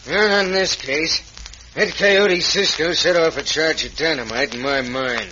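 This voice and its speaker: Muffled old radio sound of a scheming Western gambler. The villain has a highish, forceful voice and a brash, pushy way with folksy frontier talk. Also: male voice, a little slow, slight background noise. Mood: sly, insistent. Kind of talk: old-time radio serial acting.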